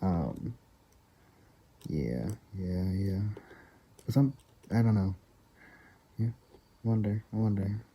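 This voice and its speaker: A man vlogging in a casual, rambling way, full of fillers and frequent soft laughs. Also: on the low side, expressive, slow pace, long pauses, slight background noise, low-quality recording.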